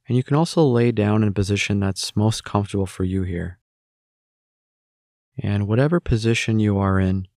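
A man narrating a guided meditation, giving gentle, unhurried instructions with long pauses between phrases. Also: lowish voice, creaky, no echo, studio quality.

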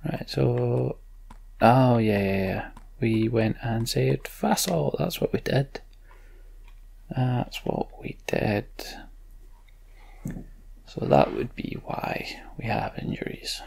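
Very creaky male Let's Play host. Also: medium pitch, takes it slowly, pauses often, a little mellow. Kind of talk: casual game commentary and story read-aloud.

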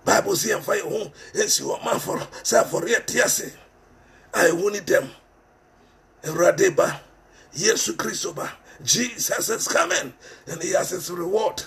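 Ghanaian-accented man preaching, very high voice, gravelly edge, impassioned, repeats phrases, headset mic. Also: expressive, unhurried, fairly bright tone. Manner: impassioned, repeats phrases for emphasis, switches between English and Twi. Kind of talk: sermon. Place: small room indoors.

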